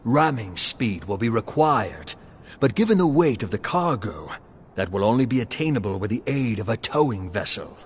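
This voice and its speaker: An actor playing a grave, deferential naval marshal in a fantasy game, speaking formally and with old-fashioned phrasing. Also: male voice, lowish voice, very animated, husky. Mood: resolute. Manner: formal, measured, archaic phrasing. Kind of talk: scripted fantasy game dialogue. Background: music.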